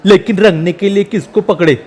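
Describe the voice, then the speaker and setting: A man's voice: high-pitched, brisk, a little mellow. A man voicing a mischievous, taunting gang ringleader with a brash, teasing manner. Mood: scheming.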